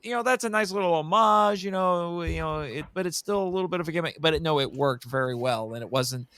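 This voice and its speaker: A man's voice: a little high, fast, a bit bright, smooth. A man in a casual film discussion, speaking enthusiastically and repeating phrases for emphasis like an expert guest. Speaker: podcast guest and monster movie expert.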